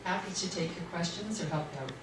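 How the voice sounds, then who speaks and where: A woman's voice: fairly low pitch, fast. Older lady councillor asking stuff at a town meeting, friendly, hesitant, noisy roomy recording. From Canada. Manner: friendly, hesitant, asks questions. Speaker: municipal councillor. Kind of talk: public meeting remarks. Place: community hall. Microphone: distant room mic. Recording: echoey hall from far off, noisy.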